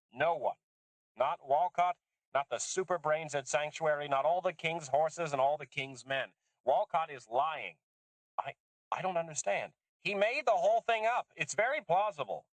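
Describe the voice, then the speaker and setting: A man's voice: no room sound, natural voice, rough recording. Man voicing an embittered old woman scientist. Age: older. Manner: emphatic, bitter, raised pitch. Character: older woman geneticist, bitter and intense. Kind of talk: audiobook character voice.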